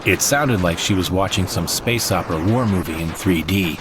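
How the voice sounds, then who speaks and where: A man's voice: low-pitched, normal speed, noisy background. A man narrating a first-person audio drama story in a dramatic storytelling manner. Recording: narration over loud score.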